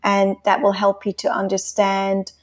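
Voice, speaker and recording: A woman from Switzerland, whose first language is Swiss German, talks through her work as a relationship coach in a friendly, flowing way. Her voice sits at a mid pitch, and she laughs readily. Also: monotone, unhurried. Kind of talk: podcast interview answers.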